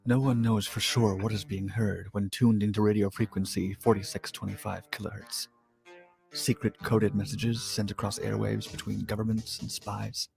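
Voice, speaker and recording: A man's voice: on the low side, flat delivery, moderate pace, creaky, over music. A man reading dramatic scripted documentary narration.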